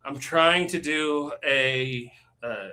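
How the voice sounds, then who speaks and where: A man's voice: medium pitch, drawn-out words, sharp tone. A man talking as a guest on a casual live stream, chatty, with lots of fillers and easy laughs.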